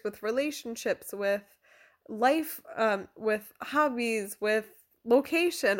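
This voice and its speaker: High-voiced young woman hosting a podcast. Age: 20s. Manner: informal, self-deprecating, given to giggling asides. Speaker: podcast host. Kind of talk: chatty podcast intro and interviewing.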